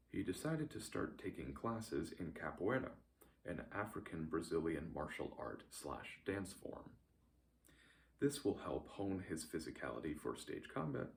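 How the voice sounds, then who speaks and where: A man reading a scripted recap as a narrator, with a dry, deadpan delivery. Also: low-pitched, gravelly, a little hiss or hum.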